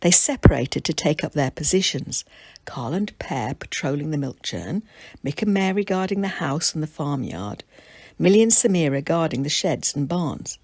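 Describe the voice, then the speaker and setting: Creaky, husky woman reading a children's story. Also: low-pitched, animated, normal speed, a little mellow, dry recording.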